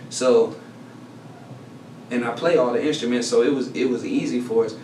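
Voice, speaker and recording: Give me an animated, slangy man telling stories as an interview guest, recorded from afar in a roomy space. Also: medium pitch, normal speed. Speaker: guest songwriter. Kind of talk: casual interview storytelling. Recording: echoey room, distant mic, noisy.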